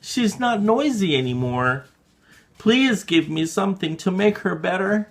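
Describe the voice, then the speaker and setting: Man playing an earnest, high-pitched pleading child. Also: expressive, a little slow, vocal fry, exaggerated voice. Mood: anxious pleading. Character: pleading, earnest child narrator with a noisy grandmother.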